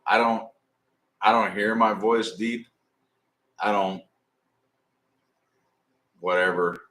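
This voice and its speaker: A middle-aged man with a deep voice, rambling and swearing casually as he hosts a live stream. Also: steady tone, a bit bright. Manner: rambling, swearing, drawn-out storytelling. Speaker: livestream host.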